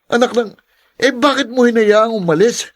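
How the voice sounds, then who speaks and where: Insolent addict son, young; radio drama, high male voice, drawling, demanding, petulant. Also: moderate pace. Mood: irritated. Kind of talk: melodramatic radio drama acting.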